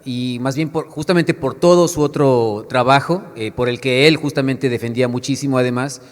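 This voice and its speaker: A man moderates a panel, his speech halting and full of restarts. His pitch is middling. The whole thing rings with the long echo of a big hall. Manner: hesitant, many fillers and restarts. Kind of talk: panel discussion. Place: book fair panel hall. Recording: echoey hall sound.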